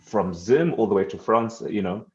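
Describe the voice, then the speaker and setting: A man's voice: on the low side, expressive, normal speed. A young man from Zimbabwe hosting an interview, engaged and affirming often. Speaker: podcast host. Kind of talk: conversational interview. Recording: echoey, dull lo-fi audio.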